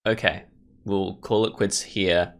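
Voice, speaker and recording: A man's voice: deep voice, fairly even intonation, takes it slowly. A man hosting a gaming video, giving casual, rambling commentary as he thinks aloud. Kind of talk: casual let's play commentary.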